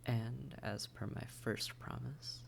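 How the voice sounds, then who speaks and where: A man playing a taunting, predatory vampire in a roleplay audio drama, with mock-tender menace and breathy, coaxing speech. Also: medium pitch, steady tone, slow pace, slight background noise. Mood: silky, gloating. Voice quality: breathy, often whispered, creaky. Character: silky, taunting, predatory vampire toying with captive hunter. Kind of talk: immersive roleplay audio drama, often whispered.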